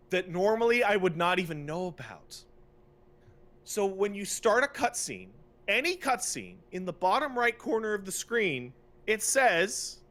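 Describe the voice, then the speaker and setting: Male podcast co-host, higher register, sharp bright tone, exasperated, emphatic comic ranting. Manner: exasperated, emphatic, with drawn-out stresses for effect. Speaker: podcast co-host and game streamer. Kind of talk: casual comedic rant about video games.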